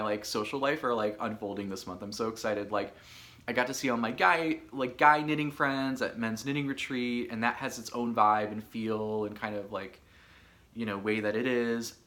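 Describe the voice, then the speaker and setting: A man in his thirties from Michigan, hosting a podcast in casual solo chat, chatty and a bit hoarse, with lots of filler words. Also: medium pitch, a bit bright. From Michigan, USA. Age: 30s. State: hoarse from allergies. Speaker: podcast host.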